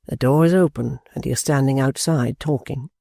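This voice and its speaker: A man reading a calm, earnest gentleman. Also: mid-range voice, creaky, no echo, clean studio sound. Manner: measured, formal and reassuring. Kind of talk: audiobook character voice.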